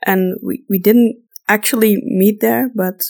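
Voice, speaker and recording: Flemish woman podcast host, native dutch speaker, medium pitch, chatty and self-deprecating, casual talk. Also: fairly even intonation, unhurried, darkish tone. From Flanders, Belgium. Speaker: podcast host and audio drama voice actor. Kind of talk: casual chat, answering listener questions.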